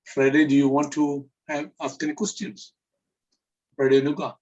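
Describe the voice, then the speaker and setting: A man from India moderating an online question session, calmly calling on participants. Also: fairly high pitch, moderate pace. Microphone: video call. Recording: dull lo-fi call audio.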